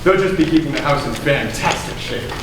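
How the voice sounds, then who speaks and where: A man's voice: fairly high pitch, animated, brisk, natural voice. A young man playing a pompous, fussy millionaire art collector in an amateur stage comedy. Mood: genial host. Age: teens or young adult. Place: school theater stage. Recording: very echoey stage, distant mic, noisy.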